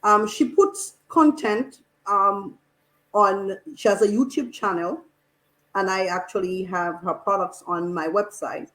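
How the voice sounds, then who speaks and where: A woman guest on a radio panel who runs an online shop, speaking with enthusiasm and many halting restarts. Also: medium pitch, fairly bright tone, poor audio.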